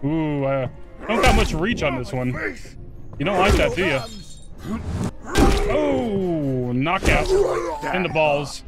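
A man giving casual, excitable let's play commentary, talking along with the action as he plays. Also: fairly high pitch, slow, long pauses, loud music behind the voice. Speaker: gaming video host.

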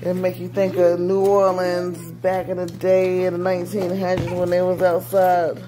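A woman's voice: on the low side, completely flat delivery, normal speed, over music, rough recording. A woman with a Southern US accent chatting casually to viewers in an informal travel vlog, recorded on a phone at arm's length. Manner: informal, addressing viewers as y'all. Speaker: vlogger.

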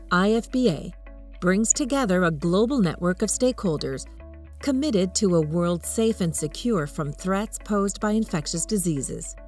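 Female narrator, mid-pitched, scripted and formal, soft music behind.

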